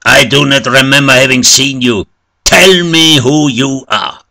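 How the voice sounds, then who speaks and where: A man's voice: mid-range voice, a little slow, gravelly, bright, forceful tone, no room sound, studio quality, voice pushed up. A man voicing a frightened, self-righteous village priest in a story, declamatory and accusing. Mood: indignant, suspicious.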